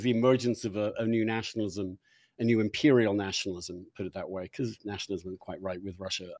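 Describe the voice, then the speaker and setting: Guy hosting a chat show, thinks out loud, halting, repeats himself, swears casually, very dry sound. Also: fairly low pitch, no room sound. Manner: halting, musing, repeats phrases, casually profane. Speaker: interview host. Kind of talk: informal, opinionated interview conversation.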